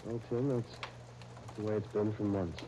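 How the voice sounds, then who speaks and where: A man playing a gentle, earnest young husband in a 1930s radio serial. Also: on the low side, steady tone, normal speed, lightly acted. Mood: earnest, troubled. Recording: noisy 1930s radio broadcast disc.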